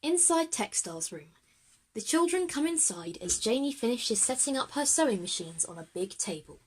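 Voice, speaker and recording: A woman's voice: fairly high pitch, sing-song, normal speed, breathy edge, poor audio. A woman reading scripted narration as the narrator of an audio drama for children.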